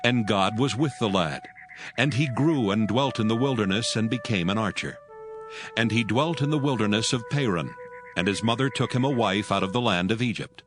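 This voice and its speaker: A man narrating a dramatized scripture reading in an even, measured way. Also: lowish voice. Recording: music bed behind.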